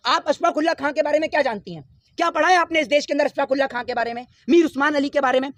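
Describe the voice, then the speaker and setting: A man's voice: extremely high pitch, rapid-fire, no echo. Man going off on a political rant, lots of rhetorical questions, dry close mic. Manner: forceful, rhetorical questions, lecturing. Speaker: interviewee. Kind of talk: impassioned political argument.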